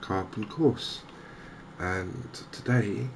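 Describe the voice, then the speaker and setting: A man giving softly spoken, gentle ASMR game commentary in an unhurried, hesitant way. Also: fairly low pitch, vocal fry, noisy background. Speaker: host of a cozy gaming channel.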